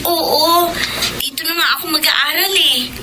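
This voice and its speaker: A very high-voiced young student in a radio drama, a caring friend chatting on a phone call. Loud noise runs behind her. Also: fairly even intonation, a little slow. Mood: eager, concerned. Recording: very noisy phone-call scene.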